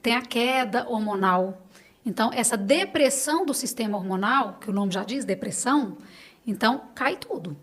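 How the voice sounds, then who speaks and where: Woman, late thirties, Brazilian accent, slightly high voice, conversational, emphatic, reassuring. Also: animated, normal speed. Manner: emphatic, reassuring, advising listeners directly. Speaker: podcast guest; clinic owner advising on supplements. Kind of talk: conversational interview.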